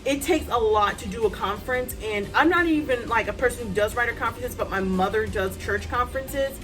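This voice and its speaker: A woman in her thirties, a writer, chatting casually to her phone's front camera at home, loud-voiced and rambling with many ums and asides. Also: fairly high pitch, fairly quick, music in the background. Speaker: writer vlogger. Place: hot room at home with a fan.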